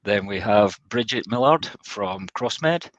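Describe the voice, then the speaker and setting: A man with a fairly low voice acts as a webinar host, speaking in a semi-formal, conversational way. The sound is very dry, with no room echo around him. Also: lively intonation, slow pace, a bit bright, muffled recording.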